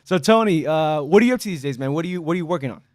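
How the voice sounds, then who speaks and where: A man's voice: a little high, animated, rapid-fire, darkish tone. A man hosting a podcast, friendly and casual, with easy slang. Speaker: podcast host. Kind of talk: casual interview.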